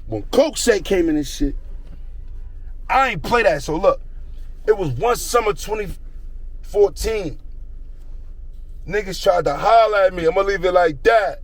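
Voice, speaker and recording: A man's voice: high-pitched, animated, measured pace, long pauses, no room sound, rough recording. A young man telling a rambling street story in slang, circling back to a repeated catchphrase.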